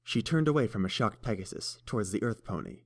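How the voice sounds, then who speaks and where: A man reading a fan story aloud with a very low voice. His delivery is steady and even, paced like an audiobook. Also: brisk, vocal fry. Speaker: narrator.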